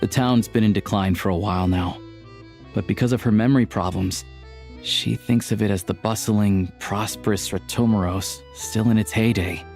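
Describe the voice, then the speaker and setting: A man playing a patient, caring character in a video game, speaking gently and earnestly. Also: lowish voice, fairly even intonation, normal speed, lightly acted. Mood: reflective, wistful. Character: patient, caring man who looks after an old woman. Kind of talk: video game voice acting, dialogue and exposition. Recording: background music under the dialogue.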